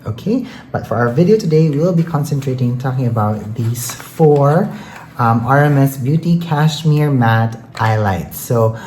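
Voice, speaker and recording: Mellow, echoey beauty vlogger of ambiguous-sounding voice. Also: medium pitch, expressive, unhurried. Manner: enthusiastic, chatty, frequent "like, you know". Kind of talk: casual chatty makeup product review. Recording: roomy echo, faint background noise.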